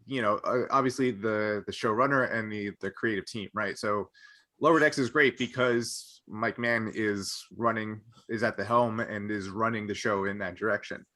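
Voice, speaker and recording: A man on a podcast panel explaining his opinions casually, halting and rephrasing as he goes. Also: lowish voice, steady tone, pushed and bright.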